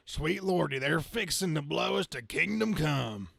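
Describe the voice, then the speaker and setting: A man's voice: on the high side, expressive, slow pace, creaky, fairly bright tone, slightly rough, no room sound, clean studio sound, exaggerated voice. A man voicing a folksy, good-old-boy trucker superhero in an amateur comic-book reading. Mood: folksy, wry.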